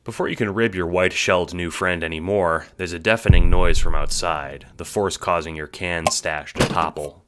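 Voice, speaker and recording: Deep-voiced man narrating, bright and forceful. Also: steady tone, moderate pace, hardly any pauses, slight background noise. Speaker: narrator and voice actor. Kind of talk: scripted second-person story narration.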